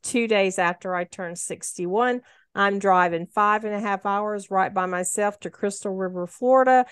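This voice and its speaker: A woman's voice: medium pitch, a bit bright, smooth, no echo, studio quality. A woman of about sixty, talking earnestly in a casual conversation and giving advice. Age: 60s. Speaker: guest sharing her story.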